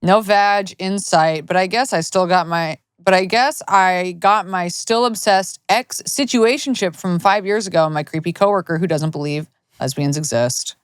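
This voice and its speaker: Smooth-voiced young woman co-host. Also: fairly low pitch, moderate pace, dry recording, clean studio sound. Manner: blunt, swearing reactions. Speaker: podcast co-host. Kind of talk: casual chat.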